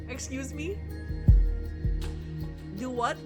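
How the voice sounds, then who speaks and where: A woman's voice: high-pitched, extremely slow. Giddy woman streamer with music behind her. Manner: giddy, exclamatory, dramatic. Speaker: livestreamer. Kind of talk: reading a visual novel aloud with excited live reactions. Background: game background music.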